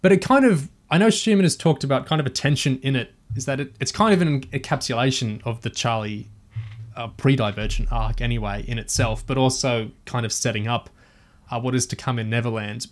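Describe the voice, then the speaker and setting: A man's voice: mid-range voice, a little fast. A man chatting casually and thoughtfully as a panelist on a review podcast, feeling his way through his points.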